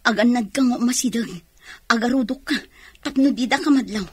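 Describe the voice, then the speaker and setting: Casting: an alert lady cop, Ilocano accent, slightly high husky voice, dry studio sound. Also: a little fast, dry recording. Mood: alert, instructing. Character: brisk, capable policewoman on duty. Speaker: radio drama actor. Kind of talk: Filipino radio drama acting.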